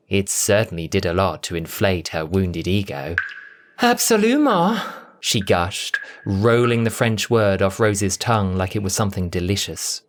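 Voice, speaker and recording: A narrator reading a scripted story in an expressive, theatrical, dramatic audiobook style. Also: deep voice.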